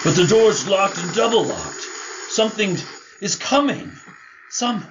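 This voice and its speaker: A crotchety old man, blusters and mutters through a radio drama with wildly swinging pitch. He speaks slowly and stammers when scared, and the recording is a little dull. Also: big pitch swings, noisy background. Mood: uneasy grumbling. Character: crotchety old male miser, skeptical then frightened. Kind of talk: theatrical radio drama acting.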